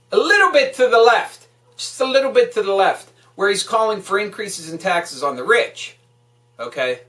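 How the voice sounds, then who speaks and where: A woman's voice: a little high, sharp tone. A woman delivering an angry political rant, emphatic, hectoring and full of swearing. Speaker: political vlogger. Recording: echoey room, distant microphone.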